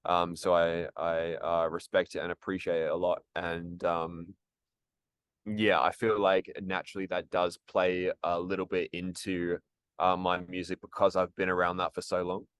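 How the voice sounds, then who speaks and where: A man with a very low voice that barely moves in pitch. He answers interview questions casually, stumbling and restarting often. The sound is dry and close. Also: level tone, moderate pace, a bit bright, smooth, no echo. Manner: hesitant, many ums and restarts. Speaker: actor and musician, interview guest.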